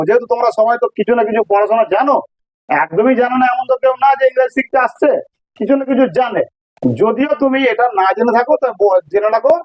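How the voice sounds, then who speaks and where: A man's voice: extremely high pitch, a little mellow, dry recording, rough recording. A man with an electronically altered voice, explaining grammar step by step as a teacher, repeating key phrases. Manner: didactic, repeats key phrases and checks understanding. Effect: voice changer. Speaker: English teacher.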